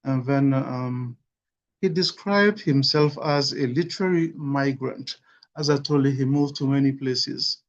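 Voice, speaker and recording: Male webinar lecturer, mid-pitched, measured delivery with many fillers, muffled call audio. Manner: measured, with frequent "you know" and "uh". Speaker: webinar speaker. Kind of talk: academic lecture. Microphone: video call.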